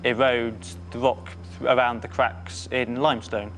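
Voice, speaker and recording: A man answering quiz questions calmly and with confidence in a TV studio. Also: mid-range voice, a little slow, faint music behind. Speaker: quiz contestant.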